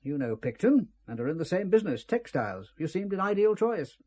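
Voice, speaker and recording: An actor playing a suave, ruthless British intelligence chief in a spy audio drama, speaking with urbane authority. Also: male voice, sits high, sing-song, brisk, mellow. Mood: grave, briefing.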